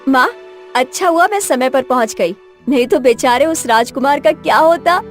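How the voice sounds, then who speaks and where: A sweet young forest maiden, played by a woman, with a very high, girlish voice. She sounds caring and emotive in a cartoon tale, over faint music. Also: animated, moderate pace. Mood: relieved. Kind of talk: dramatized cartoon story dialogue.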